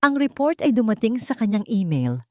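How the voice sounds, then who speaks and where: A woman narrating a scripted dramatic story in deliberate, suspenseful short phrases. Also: on the high side, very animated, warm tone, no room sound, clean studio sound.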